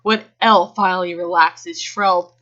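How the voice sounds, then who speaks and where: A woman's voice: on the low side, steady tone, measured pace, fairly bright tone. Woman reading aloud, dull lo-fi sound. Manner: giggly, often breaks off to react. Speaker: reader. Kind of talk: amateur dramatic reading of fan fiction with casual asides. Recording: dull lo-fi home recording.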